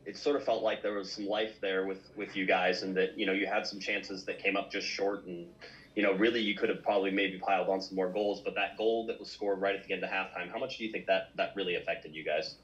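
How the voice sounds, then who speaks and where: A man's voice: low-pitched, steady tone, fast, fairly bright tone, lo-fi sound. A man, a sports reporter, asking polite, loosely phrased questions with fillers at a press conference.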